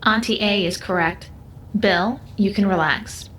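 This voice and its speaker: An actress playing a half-human house intelligence in an audio drama, calm, formal and devoted, with precise clinical diction. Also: female voice, mid-range voice, measured pace, a little hiss or hum, close to an everyday voice. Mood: matter-of-fact.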